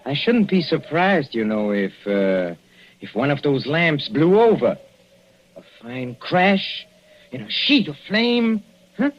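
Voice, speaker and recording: A gloating, sadistic professor in a vintage radio thriller, with a Central European accent and a mocking, purring menace. His voice swings up and down in pitch as he drawls slowly. The recording is dull and lo-fi with a faint hiss. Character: gleeful sadistic middle-aged male professor murderer. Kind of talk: 1940s radio acting.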